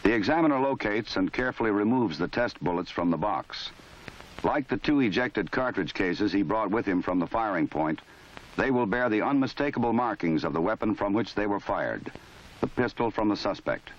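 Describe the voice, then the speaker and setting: A man with a fairly low voice delivers measured, matter-of-fact narration in a formal register. The sound is dull and lo-fi, like an old 1970s film soundtrack. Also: animated. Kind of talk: scripted documentary narration. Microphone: voice-over.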